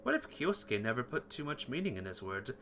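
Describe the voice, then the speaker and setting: A man's voice: medium pitch, very animated, fast talker, fairly bright tone, slight background noise. A man reading visual novel text aloud as narration, sometimes stumbling over words and correcting himself. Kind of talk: narrated read-aloud of game text, acting out dialogue. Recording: music playing behind the voice.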